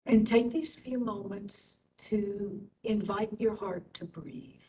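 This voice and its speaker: A woman instructor leading a guided meditation in short phrases with long pauses. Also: dark tone. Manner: slow, pausing between short phrases. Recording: distant mic, dull, faint rumbling noise.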